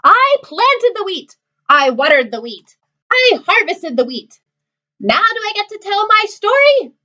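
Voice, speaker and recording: A squawking, high-pitched little hen, put on by a woman, scolding everyone for being lazy with a lively, bouncing voice. It comes through a dull, lo-fi storybook recording. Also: a little slow, full character voice. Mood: indignant. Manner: shrill, indignant complaining. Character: fussy hardworking female hen.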